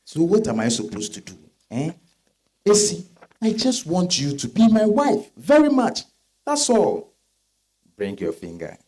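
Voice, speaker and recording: A man with a West African accent playing a married suitor, smooth and insistent, in a dramatized stage reading. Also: fairly high pitch, huge pitch swings, a little slow, long pauses, darkish tone, heavily acted. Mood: pleading, insistent. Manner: persistent, questioning.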